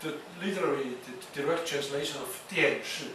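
A man giving a university lecture, speaking haltingly with many fillers and restarts. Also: fairly high pitch. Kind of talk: classroom lecture. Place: lecture hall. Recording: echoey lecture hall, distant mic, noisy.

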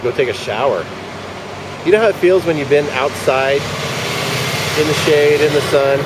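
A man in his forties giving dry, rambling commentary to a handheld camera outside a shopping center. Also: medium pitch. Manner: dry, wry running commentary, muttered asides. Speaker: vlogger. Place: outdoors at a shopping center parking lot. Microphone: handheld camera at arm's length. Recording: handheld camera outdoors with traffic noise. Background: traffic and outdoor ambience.

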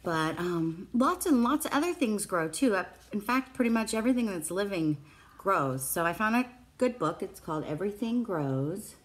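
A woman's voice: medium pitch, very animated, normal speed. A middle-aged woman, a preschool teacher, reading a picture book aloud to young children in simple phrases. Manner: simple child-directed phrasing with questions to listeners. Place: small classroom, indoors. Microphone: phone or tablet at arm's length.

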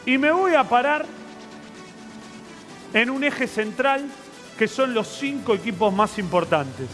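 A man with a high voice makes blunt, provocative statements in a TV studio, speaking into a headset mic. Faint music plays behind. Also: lively intonation, slow pace, fairly bright tone. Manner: emphatic, provocative. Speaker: TV pundit.

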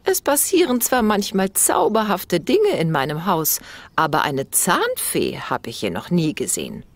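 A woman's voice: fairly low pitch, very animated, measured pace, pitched above the performer's own voice, heavily acted. A woman voicing a cheerful, doting grandmother in her seventies, playful and affectionate, in a children's audio story. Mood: friendly.

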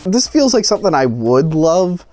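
A man in his thirties with a fairly high, lively voice chats casually on a review panel. His pitch moves around a lot as he talks. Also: moderate pace, background noise. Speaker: movie review show panelist. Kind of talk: casual, animated chat. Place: small indoor set lined with tapes.

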